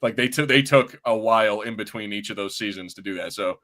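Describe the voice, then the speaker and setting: Casual, halting man on a podcast. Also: fairly low pitch, bright, forceful tone. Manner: halting, repeats words, swears casually. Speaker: podcast host. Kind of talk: casual chat, TV discussion.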